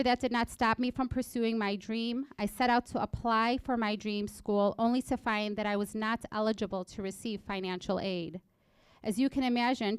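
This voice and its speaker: A nineteen-year-old woman from Egypt, raised in Illinois, reading a prepared personal story in an earnest, measured way at a college library event. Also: on the high side, smooth, no echo. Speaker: student speaker.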